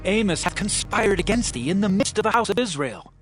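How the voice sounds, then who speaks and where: Guy acting a snooty scolding priest in a bible drama, high swoopy voice, faint music. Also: lively intonation, fairly quick, a bit bright, husky, no echo, heavily acted. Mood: accusing. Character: Amaziah, accusing haughty male priest.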